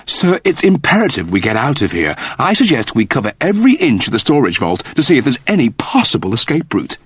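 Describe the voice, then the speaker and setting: A man's voice: medium pitch, wildly swooping pitch, a little fast, slightly rough, no echo, voice pushed up. A man voicing a polite, anxious robot servant, deferential and formal, in an audiobook story. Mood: urgent, pleading. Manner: deferential, formal, precise wording.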